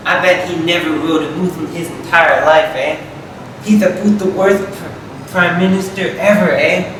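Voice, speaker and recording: A man's voice: a little high, fairly even intonation, moderate pace, a bit bright, lightly acted. Man putting on a folksy Canadian guy, very echoey room. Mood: grumbling. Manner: tags nearly every remark with a questioning interjection. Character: stereotypical Canadian man, folksy, grumbling yet apologetic. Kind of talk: improvised comic character banter. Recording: very echoey, distant, noisy room.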